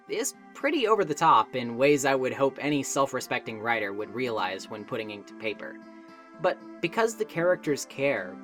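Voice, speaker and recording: A woman narrating a scripted video essay in an emphatic, conversational way, as its presenter. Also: a bass voice. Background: music.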